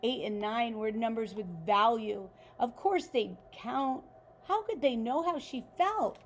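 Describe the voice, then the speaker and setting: A woman reading a children's picture book aloud like a teacher, expressively and deliberately, with questions to the listeners. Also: fairly high pitch, slight background noise. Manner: animated, deliberate, pauses to ask listeners questions.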